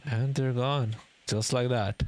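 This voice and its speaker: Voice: mid-range voice, steady tone, slow, darkish tone. A livestreamer giving casual, slangy, reactive commentary on a game. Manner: reactive, informal. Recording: game music faintly behind voice.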